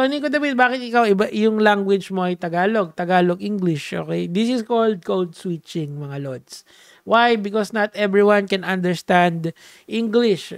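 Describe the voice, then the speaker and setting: A man's voice: sits high, no echo, clean studio sound. A young Filipino man with a Filipino accent giving playful, casual commentary, switching between Tagalog and English with many fillers and restarts. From Philippines. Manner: playful, code-switching, frequent fillers and restarts, shouts "Hey!". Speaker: commentary vlogger.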